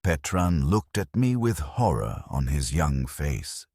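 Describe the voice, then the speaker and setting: An extremely low-voiced man narrates a dark science-fiction tale with a husky rasp. His delivery is grave and measured, yet the pitch rises and falls dramatically. The recording is close and dry. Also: very animated, no room sound. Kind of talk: scripted first-person dramatic audiobook narration.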